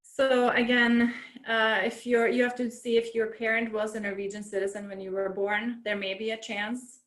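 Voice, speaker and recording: A woman consular officer answering questions in a webinar, relaxed and helpful, with easy fillers, over a video call. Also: a little high, moderate pace, echoey room.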